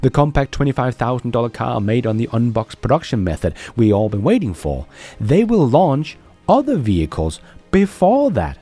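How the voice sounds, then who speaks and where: Animated, mellow-voiced male news commentator. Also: mid-range voice. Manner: enthusiastic, emphatic, chuckling between points. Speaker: host of a Tesla news channel. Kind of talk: excited news commentary to viewers.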